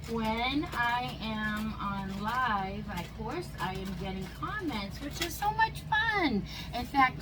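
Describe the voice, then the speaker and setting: A woman with a stuffy nose and a slightly squeaky voice, chatting casually as she narrates each step of a craft project. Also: poor audio. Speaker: craft channel host. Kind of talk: casual how-to crafting chat.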